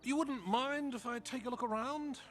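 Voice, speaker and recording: An actor playing a prim, fussy bank official who speaks formally and precisely in a film comedy-drama. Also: male voice, extremely high pitch, normal speed, a bit bright, faint music behind. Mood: officious.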